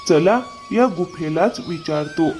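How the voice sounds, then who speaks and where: Guy voicing humble kind worker in a cartoon, lively pitch swings, takes his time, music behind. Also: slow pace, exaggerated voice. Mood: pondering. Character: poor, good-hearted, playful working man. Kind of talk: cartoon story dialogue.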